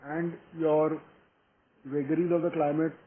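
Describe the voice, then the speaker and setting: A man with an Indian accent putting questions and comments as an investor in a meeting, in halting, broken phrases. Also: a little high, level tone. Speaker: investor or analyst asking questions. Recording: thin phone-like sound with background noise.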